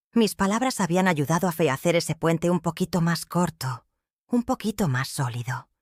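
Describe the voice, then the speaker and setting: An older woman with a Mexican accent narrating her own life story in a slow, heartfelt, reflective way. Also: deep voice, no room sound, clean studio sound. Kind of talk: first-person storytelling narration.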